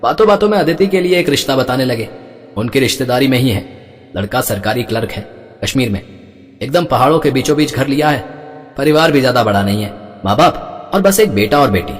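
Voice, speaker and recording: An actor playing a calm, reassuring middle-aged father in a storybook, measured and persuasive. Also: mid-range voice, in a large hall, natural voice. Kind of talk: audio storybook acting.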